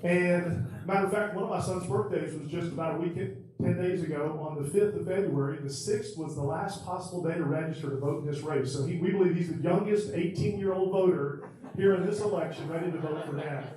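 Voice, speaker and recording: A middle-aged man with a fairly high voice delivers an upbeat, folksy campaign pitch. He sounds set back from the microphone, and the big room rings around him. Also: brisk, mellow. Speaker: political candidate. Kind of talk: campaign stump speech. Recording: echoey hall, distant mic.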